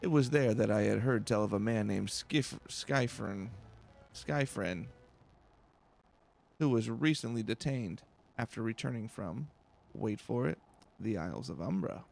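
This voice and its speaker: A man's voice: fairly low pitch, expressive, a little slow, vocal fry, pauses often, darkish tone, heavily acted. A man voicing a proud, nervous female scholar from a fantasy game, formal and prone to stammering. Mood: chatty, haughty.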